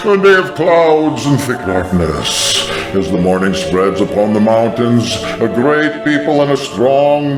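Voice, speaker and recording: Echoing, swooping prophet of doom voiced by a man. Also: mid-range voice. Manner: declamatory, ominous, grand. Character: thunderous biblical prophetic voice proclaiming doom. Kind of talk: dramatic scripture declamation. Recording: echoing voice over music. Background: music.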